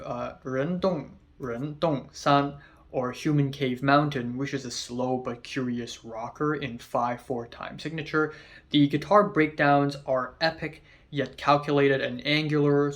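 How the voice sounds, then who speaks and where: A man's voice: a little high, fairly even intonation, measured pace. A young man hosting his own channel, giving a casual spoken album review, chatty with lots of fillers and casual swearing.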